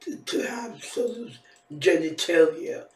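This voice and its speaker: A hoarse woman in her fifties who is losing her voice, rambling through a casual vlog and repeating her phrases. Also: on the low side, takes it slowly, darkish tone, roomy sound, recorded from a distance. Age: 50s. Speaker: vlogger.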